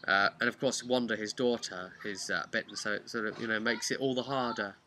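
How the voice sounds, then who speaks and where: A man's voice: lowish voice, steady tone, a little fast, bright, forceful tone, a little hiss or hum. A man telling a casual, unscripted recap of a tabletop game as its game master, rambling and using repetition for emphasis.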